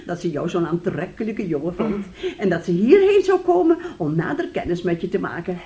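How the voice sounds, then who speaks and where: A woman's voice: fairly low pitch, very animated, brisk, warm tone. An actress playing a fussy, scheming older mother in a radio play, theatrical and effusive, repeating herself. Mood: giggly, excited. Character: fussy, scheming older mother, gushing then bitterly nagging. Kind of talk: Dutch radio play acting.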